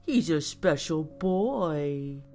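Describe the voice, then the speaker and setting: A woman's voice: deep voice, expressive, extremely slow, dark tone, faint music behind, exaggerated voice. A woman playing a smug, doting, self-dramatizing aunt in an audio story, with a cooing, syrupy manner. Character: smug, doting, self-dramatizing aunt fussing over her pet creature. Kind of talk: audio horror-comedy story acting.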